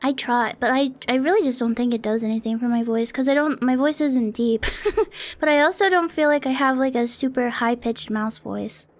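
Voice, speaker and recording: A woman's voice: on the high side, moderate pace. A young woman streaming from her apartment, chatting casually in a rambling, self-deprecating way and swearing often, close to a desk mic. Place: home apartment streaming setup. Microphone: close desk mic on an arm.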